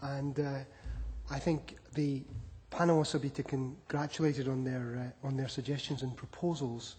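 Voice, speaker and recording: A man's voice: medium pitch, level tone, normal speed, a little mellow. Man speaking up from the audience. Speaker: audience member. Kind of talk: question from the floor. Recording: long hall reverb.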